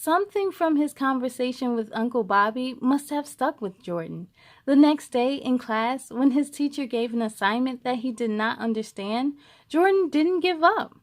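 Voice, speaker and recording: A woman hosting a children's read-aloud, expressive and encouraging as she speaks to young listeners. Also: high voice, moderate pace. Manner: expressive, encouraging, addresses young viewers directly. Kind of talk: animated storybook reading for children.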